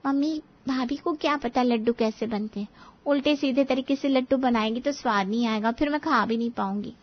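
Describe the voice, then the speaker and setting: Fussy, demanding young married daughter acted by a woman, high-pitched, insistent, family story, dull audio. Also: brisk, lightly acted. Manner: insistent, complaining. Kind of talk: Hindi family story dramatization.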